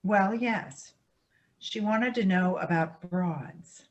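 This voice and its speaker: Older grandmother played by an actress: female, ex-actress, mid-pitched, evasive and defensive, stage drama reading. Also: lively intonation, slow, muffled recording.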